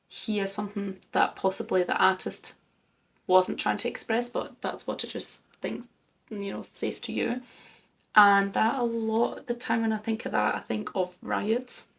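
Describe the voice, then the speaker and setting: A woman's voice: medium pitch, vocal fry, a bit bright, slightly rough. A woman who grew up in Germany, co-hosting a music reaction chat and thinking aloud in an earnest, casual way. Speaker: co-host of a music reaction channel.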